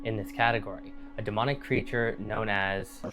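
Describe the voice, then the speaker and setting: A man's voice: on the low side, fairly even intonation, normal speed. A man narrating a scripted survival guide in a conversational, explanatory way. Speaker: narrator and host. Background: background music.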